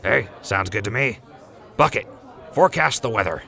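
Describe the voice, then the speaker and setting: A laid-back, wisecracking wasteland drifter with a low, gravelly voice. The tone is very bright and forward, and he has a wry, joking way of talking. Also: lively intonation, normal speed, a faint crowd, exaggerated voice. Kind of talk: radio drama acting.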